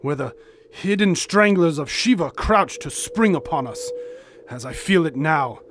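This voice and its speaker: A man's voice: a little high, animated, a little slow, noisy background. A man playing a fierce, superstitious warrior and loyal companion in a pulp audio drama, with ornate, intense speech. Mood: dread.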